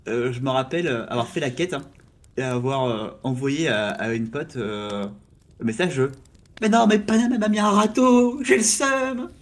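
Male podcast co-host, mid-pitch, halting restarts, moves the talk on. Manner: hesitant restarts, steers the discussion. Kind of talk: casual chat.

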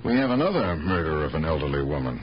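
An actor playing an earnest, protective police detective nephew in a radio drama, instructive and exasperated. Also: male voice, rumbling low, big pitch swings, fast. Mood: grave. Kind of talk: 1970s radio drama acting. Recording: dull old radio recording, faint noise.

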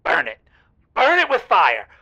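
An actor playing an exasperated, lovelorn caller to a radio advice show, talking in dramatic, emphatic bursts with halting restarts. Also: medium pitch, wildly swooping pitch, pushed and bright, slightly rough, lo-fi sound, heavily acted. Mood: exasperated venting. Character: exasperated, lovelorn caller venting about a boyfriend. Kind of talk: semi-improvised audio drama, radio call-in.

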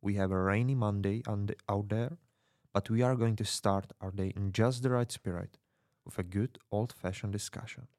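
Male actor as earnest underground radio host, low voice, solemn rallying delivery, dry close sound, fiction podcast. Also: steady tone, normal speed, darkish tone, no room sound, close to an everyday voice. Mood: earnest, welcoming. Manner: solemn, rallying, addresses listener intimately. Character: Nemo, earnest male rebel radio host in dystopian Paris. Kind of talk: dramatic scripted radio monologue and hosting.

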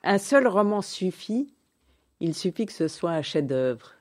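A woman's voice: on the low side, very animated, a little slow, a little mellow. A woman hosting a literary radio interview, putting questions to her guest and presenting the programme.

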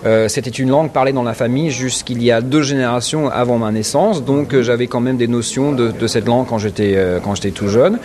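A man's voice: medium pitch, a little fast, hardly any pauses, darkish tone. A man from New Orleans, a guest on a radio interview, speaking thoughtfully with many hesitations. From Louisiana, New Orleans. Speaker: interview guest, cultural association president.

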